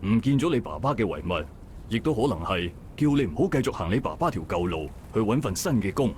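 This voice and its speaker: An actor playing a calm, steady male dockworker who gently reassures a friend in a visual novel. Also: lowish voice, fast talker, slight background noise. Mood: gentle reassuring. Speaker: game character. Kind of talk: voiced visual novel dialogue.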